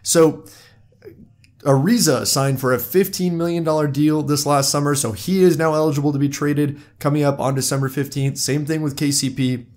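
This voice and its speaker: Hoarse man hosting a sports podcast. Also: fairly high pitch, steady tone, normal speed, a little mellow. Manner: conversational, talks straight to listeners. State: hoarse from a cold. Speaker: podcast host. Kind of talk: solo sports podcast monologue.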